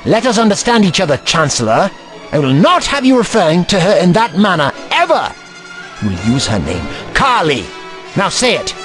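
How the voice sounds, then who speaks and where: A man acts out a learned, eccentric time traveller in a sci-fi audio drama. He lectures in precise, old-fashioned words, protective and easily indignant, with pitch that swoops dramatically. Music plays along. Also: wildly swooping pitch, normal speed. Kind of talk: science fiction audio drama acting.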